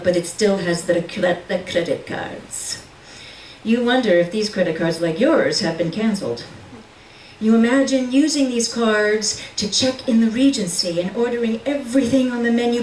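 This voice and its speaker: A woman reading literary fiction aloud at a live reading, with measured, deliberate delivery and dramatic pauses. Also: a little high. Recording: roomy, distant mic with faint noise.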